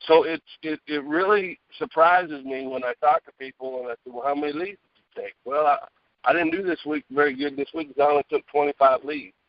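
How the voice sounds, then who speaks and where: Male guest sales speaker, lively swooping delivery with some fry, emphatic and conversational, dry muffled phone call. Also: a little high, brisk, no room sound. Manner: emphatic, conversational, checks in with "okay?" and "right?". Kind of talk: motivational sales talk to agents. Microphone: phone earpiece. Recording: dull lo-fi phone earpiece call.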